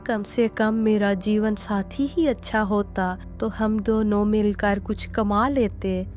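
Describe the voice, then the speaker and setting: A woman's voice: medium pitch, darkish tone, lightly acted. A woman voicing a sorrowful, devoted young bride in a cartoon story, pious and resigned. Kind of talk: Hindi cartoon story dialogue.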